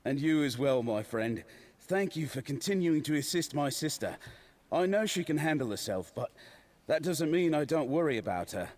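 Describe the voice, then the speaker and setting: An actor playing a courteous retired adventurer turned scribe in a video game, genteel and measured in speech. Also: male voice, fairly high pitch, expressive, darkish tone. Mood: warm concern. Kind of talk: video game character dialogue.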